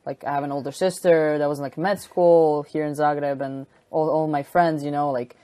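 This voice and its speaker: A woman's voice: low-pitched, steady tone, normal speed, warm tone, dry recording. A young woman with a Croatian accent, a college squash player chatting as an interview guest, filling her talk with "like" and laughing easily. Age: young adult. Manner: chatty, heavy filler use of "like", laughs easily. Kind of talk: casual interview chat.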